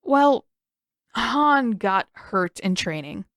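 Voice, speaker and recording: A woman's voice: fairly high pitch, lively intonation, drawn-out words, fairly bright tone, no echo, clean studio sound, exaggerated voice. A woman voicing an intense, suspicious teenage boy in an audiobook, emphatic and insistent. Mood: defensive, insistent. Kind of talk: acted audiobook dialogue.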